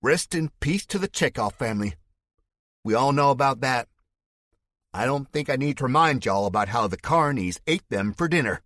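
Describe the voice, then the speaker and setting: A man voicing an unstable male militia leader with a fake southern drawl, making loud, theatrical speeches to a crowd. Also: medium pitch, animated, normal speed, dry recording, clean studio sound, voice pushed up, close to an everyday voice. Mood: sermonizing.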